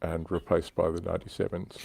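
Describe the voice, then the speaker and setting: A man's voice: deep voice, flat delivery, brisk, vocal fry, a little mellow, husky, no echo. A man hosting a casual hobby livestream, reading out comments and chatting in an informal, wry way. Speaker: livestream host.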